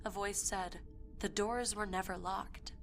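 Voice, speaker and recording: A woman playing a haunted, obsessive young woman who recounts a dark past in an intense audio drama monologue. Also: medium pitch, fairly even intonation, measured pace. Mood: haunted, grim. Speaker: performer reading a dramatic monologue. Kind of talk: first-person audio fiction monologue. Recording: voice over prominent music.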